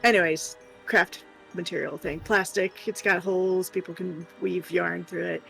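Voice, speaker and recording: A woman's voice: fairly low pitch, steady tone, normal speed, vocal fry, a bit bright, faint music behind, poor audio. A woman co-hosting a livestream in casual chat, explaining things in a matter-of-fact way. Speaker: livestream co-host and crafter.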